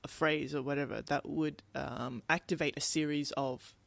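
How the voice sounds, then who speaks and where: A woman's voice: low-pitched, steady tone, moderate pace, gravelly, no echo. A woman co-hosting a podcast in casual conversation, telling things in a rambling way. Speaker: podcast co-host. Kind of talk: casual chat.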